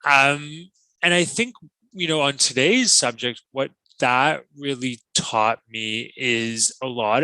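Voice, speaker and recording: Man, very bright tone, dry close mic, thoughtful, halting. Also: mid-range voice, smooth, no echo, low-quality recording. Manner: thoughtful, with frequent ums and restarts. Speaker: guest, language teacher. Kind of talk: conversational podcast interview.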